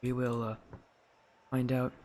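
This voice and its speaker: A teenage boy with a high-pitched, youthful voice, chatting with his live stream audience and casting a video game match, loud and excited on goals. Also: a little slow. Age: teens. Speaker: esports caster. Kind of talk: live stream chat and play-by-play commentary.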